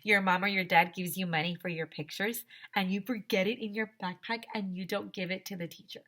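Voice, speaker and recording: A woman with a bright, clear-cut voice at a medium pitch. She speaks as a teacher to young children, reading and chatting expressively and addressing them directly. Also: steady tone, brisk. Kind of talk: expressive children's story read-aloud and chat.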